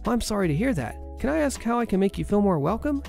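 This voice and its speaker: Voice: medium pitch, sing-song, fast talker, creaky, darkish tone, faint music behind, voice pushed up. A storyteller voicing a polite, patient woman receptionist who speaks courteously and apologetically.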